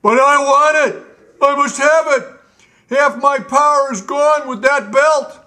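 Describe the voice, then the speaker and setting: A man's voice: very high voice, measured pace, pushed and bright, voice pushed up, exaggerated voice. A man voicing a furious tyrant gnome king who shrieks in stamping tantrums. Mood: greedy.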